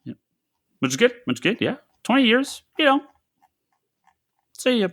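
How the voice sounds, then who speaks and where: A man's voice: very high voice, big pitch swings, normal speed, fairly bright tone. A man chatting casually as a podcast co-host. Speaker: podcast co-host, comic shop retailer. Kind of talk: casual panel chat.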